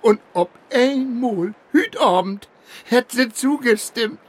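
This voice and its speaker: An actor playing a rough, honest, big-hearted old fisherman in a radio drama, with a husky voice and a northern German accent. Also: extremely high pitch, expressive, slow pace, full character voice. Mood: jubilant. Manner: hearty, folksy seafaring talk.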